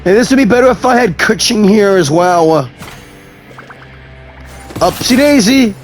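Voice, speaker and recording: A man's voice: sits high, animated, unhurried. A man giving casual gameplay commentary, playful, reacting aloud to the game and its story. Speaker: gaming video host. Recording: faint game music behind.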